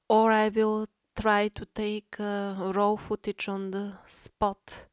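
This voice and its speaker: Slow, even-toned woman narrating. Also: medium pitch, smooth, no echo, clean studio sound. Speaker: documentary maker and narrator. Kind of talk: documentary voice-over addressing viewers.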